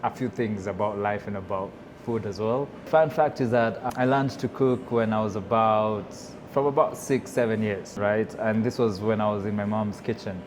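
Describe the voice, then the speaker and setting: A man's voice: lowish voice, steady tone, normal speed. Kenyan man chatting over background noise. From Nairobi, Kenya. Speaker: chef and restaurant owner. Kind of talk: casual interview conversation.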